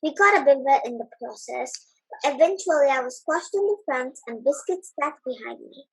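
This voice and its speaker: High-pitched child reading a story aloud. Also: female voice, lively intonation, moderate pace, poor audio. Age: child, about nine. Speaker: primary school pupil reading aloud. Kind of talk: audiobook story narration.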